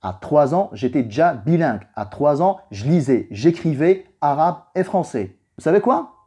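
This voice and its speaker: Young guy, arabic native, casual motivational vlog talk, mellow voice that swings up and down, repeats stuff to drive it home. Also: on the high side, big pitch swings, normal speed, husky. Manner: emphatic, rhetorical questions and repetition for stress. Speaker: vlogger giving a personal reflection. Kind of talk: informal motivational religious talk to camera.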